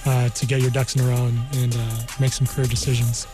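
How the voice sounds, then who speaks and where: An American man, a guest on a radio interview, explaining things in a measured, halting way. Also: mid-range voice, little pitch movement. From New Mexico, United States. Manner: halting, measured, repeats "you know". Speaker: guest, army career counselor. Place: radio studio. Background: music.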